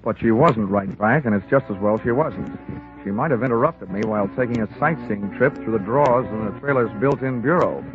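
Male actor playing hard-boiled American investigator, deadpan and wisecracking, vintage radio drama, narrow old recording with music behind. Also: medium pitch, close to an everyday voice. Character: wry, hard-boiled male insurance investigator narrating his case. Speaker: lead actor and narrator. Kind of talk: 1950s radio detective drama acting.

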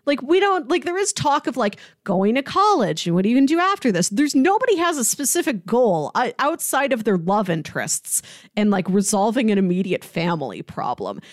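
A woman's voice: a little high, a little fast, no room sound, clean studio sound. A woman co-hosting a casual TV review chat, talking conversationally and peppering her sentences with "like". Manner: animated, conversational, frequent "like". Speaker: podcast co-host.

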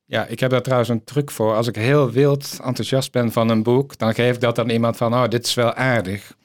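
Male interviewer, medium pitch, reflective and exploratory, recorded dry. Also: steady tone, fairly quick, no room sound. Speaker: podcast host, interviewer. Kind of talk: conversational interview.

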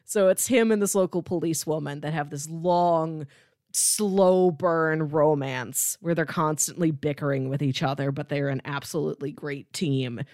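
A woman co-hosting a podcast, chatting casually and giving recommendations with playful, animated asides. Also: low voice, moderate pace, no room sound, studio quality. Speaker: podcast co-host.

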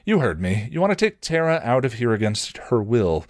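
A man voicing a tough, calm young woman warrior with a firm, challenging manner in a story reading. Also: mid-range voice, moderate pace, dry recording, clean studio sound, voice pushed up, natural voice. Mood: defiant.

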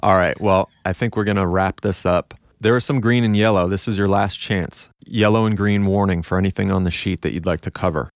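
Deep-voiced man hosting a casual podcast. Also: steady tone, a little fast, few pauses, dry recording, clean studio sound. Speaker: podcast host.

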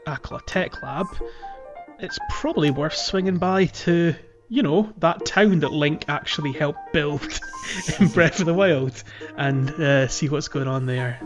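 A man's voice: fairly high pitch, a little slow, over music. A man hosting a casual gaming podcast, talking in a rambling, explanatory way. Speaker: podcast host. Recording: dull lo-fi sound.

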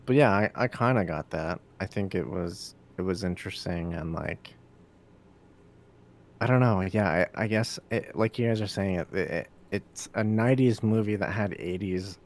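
Deep, low-voiced guy, laid-back, rambling and swearing casually. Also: moderate pace. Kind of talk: casual chat.

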